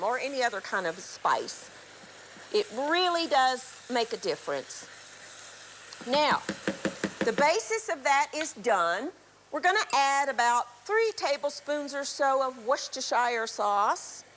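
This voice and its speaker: A middle-aged woman, raspy from a cold, chattily walking viewers through a recipe on a live TV cooking show. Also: on the high side, a bit bright. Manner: chatty instructional, throat clearing. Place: TV studio kitchen.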